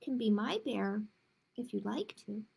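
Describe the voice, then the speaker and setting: A woman's voice: mid-range voice, expressive, measured pace, gravelly, a little mellow, husky, full character voice. A woman voicing a loving little girl in a storybook reading, tender and reassuring.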